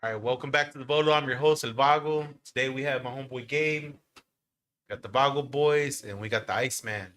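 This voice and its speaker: A Texan man hosting a podcast, talking in a loose, slangy way and cursing freely. His voice is bright and forward, with a middling pitch. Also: a little fast. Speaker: podcast host. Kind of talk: casual podcast chat.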